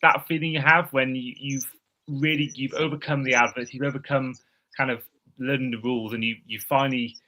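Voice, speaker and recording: Host guy, bright sharp voice, gets all excited, trips over first words. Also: medium pitch, a little fast, poor audio. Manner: excitable, reads lists, stammers at starts. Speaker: podcast host. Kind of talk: casual podcast chat.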